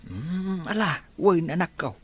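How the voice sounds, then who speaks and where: A man playing a kindly older father in an Ilocano audio drama, gentle and supportive with a husky voice. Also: high-pitched, animated, a little slow, dry recording, full character voice. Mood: fond, encouraging.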